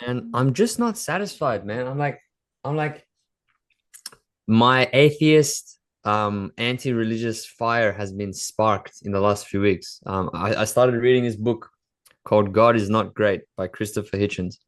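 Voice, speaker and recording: A man's voice: fairly low pitch, measured pace. A man co-hosting a casual podcast, asking probing questions with a teasing edge. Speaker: podcast co-host.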